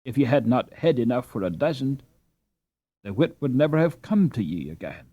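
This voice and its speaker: A man acts out an anxious, plain-spoken Dutch housewife from a storybook. The voice is pitched up and very lively in its swings, fussing and soothing in folksy old phrases. The sound is close and dry. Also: moderate pace, breathy edge, no room sound. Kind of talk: audiobook character dialogue.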